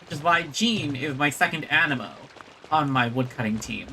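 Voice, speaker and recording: A woman's voice: sits low, normal speed, bright, forceful tone, slight background noise. A woman streaming a video game, giving casual commentary and reading in-game text aloud. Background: game music.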